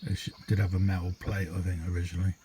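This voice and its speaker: A British man with a lowish, very mellow and relaxed voice talks casually through an informal demonstration. He rambles and hesitates, unscripted and easygoing. The amateur home recording has clear background noise. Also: very fast. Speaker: hobbyist radio demonstrator.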